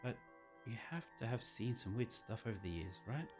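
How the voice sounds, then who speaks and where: A man's voice: medium pitch, lively intonation, moderate pace, natural voice. A man reading out the dialogue of teasing visual novel characters who chat about spirits, with game music behind. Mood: playful, questioning.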